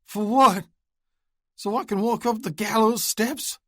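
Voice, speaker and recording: A man's voice: high voice, steady tone, measured pace, no room sound, clean studio sound, voice pushed up. A man voicing a big desperate outlaw who roars in rage and grief. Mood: agonized. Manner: roaring, anguished, breaking into whimpers. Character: big desperate male outlaw, grieving and raging.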